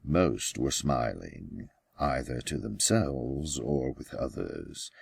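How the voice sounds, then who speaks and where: A man with a gravelly, husky voice reading his own story as an author, in deliberate, measured audiobook narration with pauses. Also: extremely low pitch, darkish tone, no echo. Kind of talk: slow scripted audiobook narration.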